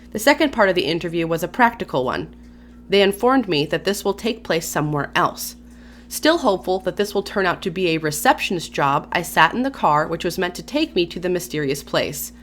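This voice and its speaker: A woman's voice: lowish voice, expressive, moderate pace, slight background noise. A woman hosting her own channel, reading a story aloud and breaking off for casual, chatty asides with sighs and small laughs. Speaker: YouTube channel host.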